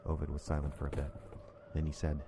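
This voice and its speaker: A man's voice: rumbling low, monotone, fast, creaky. An actor playing an uneasy working man who narrates his own snowbound horror story in a dramatic, brooding way. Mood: hushed unease. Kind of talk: first-person radio horror narration. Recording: noisy storm ambience under the voice.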